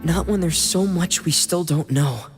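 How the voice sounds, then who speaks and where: A shy, earnest teenage boy from a video game voiced by an adult actor, mid-pitched and soft-spoken. He speaks with hesitant sincerity over loud background music. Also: a little slow, lightly acted. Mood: earnest, resolute. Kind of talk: English game voice acting. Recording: music behind the voice.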